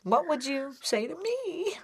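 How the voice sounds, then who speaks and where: A woman's voice: sits high, very animated, unhurried. A woman hosting a casual chat, asking questions in a chatty way and laughing easily.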